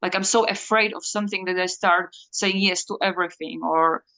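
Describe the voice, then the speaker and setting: A woman whose first language is Slovak, talking in a reflective interview and often pausing and searching for words. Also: on the low side, fairly even intonation, sharp tone. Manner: halting, searching for words, many fillers. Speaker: interview guest.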